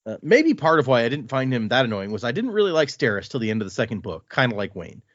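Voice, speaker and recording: A man hosting a podcast, reading a listener's email aloud and adding his own quick asides between lines. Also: medium pitch, no room sound. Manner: reads with lively asides and comments between lines. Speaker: podcast host. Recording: dry, slightly dull lo-fi sound.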